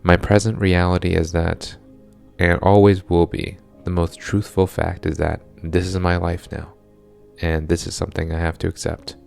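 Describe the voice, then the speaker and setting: A young American man in his late twenties speaks as a vlogger, narrating personal reflections. His voice is very low, with a heavy vocal fry at the edges. He is measured and earnest, and soft music plays faintly behind him. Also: level tone. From born in Hong Kong, grew up in Southern California from age seven. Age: late 20s. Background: faint background music.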